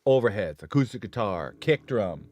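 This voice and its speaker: A man's voice: on the low side, sing-song, normal speed, darkish tone. A man casually chatting about studio gear on a live stream, rambling and self-correcting as he talks. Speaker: studio engineer co-host.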